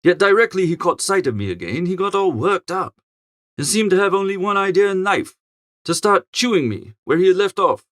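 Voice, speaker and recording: A man narrates a storybook as a flustered young gentleman of leisure. His voice sits high and swoops about with lively inflection. He sounds posh and chatty, given to grumbling exclamations. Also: fairly quick, no room sound, clean studio sound. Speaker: audiobook narrator. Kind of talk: animated first-person storybook narration in character.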